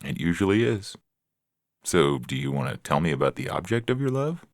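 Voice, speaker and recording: A man playing a calm, reassuring therapist in a science fiction audio story, gentle and patient, with music behind him. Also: low-pitched, no room sound, studio quality. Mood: calm, encouraging. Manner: patient, gentle, measured questioning. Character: calm, reassuring male therapist to humans and robots. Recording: music clearly under the voice.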